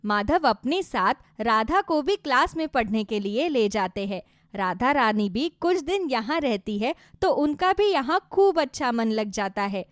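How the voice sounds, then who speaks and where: High, animated woman storyteller. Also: measured pace, no echo, clean studio sound. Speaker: narrator. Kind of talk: scripted children's story narration.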